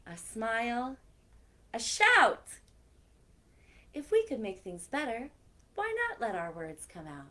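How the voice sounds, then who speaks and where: A woman's voice: a little high, bright, forceful tone, a little hiss or hum. A woman hosting a children's story time, reading aloud expressively and chatting directly to her young listeners. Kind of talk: animated read-aloud for children with chatty asides.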